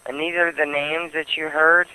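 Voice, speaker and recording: A man on a phone call, answering in a plain, deliberate, unruffled manner. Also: a little high, level tone, sharp tone, smooth. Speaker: call taker, prank call target. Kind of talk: phone conversation.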